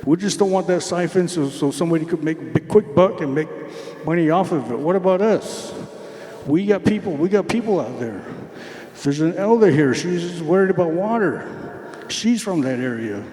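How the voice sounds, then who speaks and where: A man's voice: high-pitched, darkish tone. Earnest man speaking up in an echoey hall. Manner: earnest, emphatic, repeats words while searching. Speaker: community member raising questions. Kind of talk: public comment at a community meeting. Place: large meeting hall. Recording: echoey hall with long reverb.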